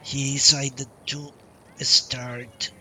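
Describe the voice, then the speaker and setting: A man streaming a video game, telling a long story casually in a halting, drawn-out way. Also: mid-range voice, flat delivery, bright, forceful tone, slight background noise. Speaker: game livestreamer. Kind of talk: casual rambling storytelling while playing a game. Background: video game music.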